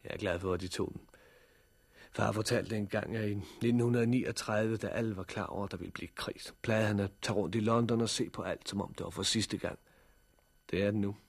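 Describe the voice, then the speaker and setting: An actor playing a practical, hardened survivor of a catastrophe in a 1960s radio drama, dry, matter-of-fact and formally polite. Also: male voice, lowish voice, fairly even intonation, creaky, slightly rough. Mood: warm, reflective.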